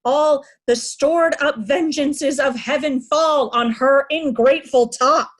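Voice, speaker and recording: A woman playing a proud aging king, raging at ungrateful daughters in a declamatory Shakespearean style. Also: high-pitched. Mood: cursing fury. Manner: declamatory, deliberate, anguished. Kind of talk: Shakespearean reader's theatre acting.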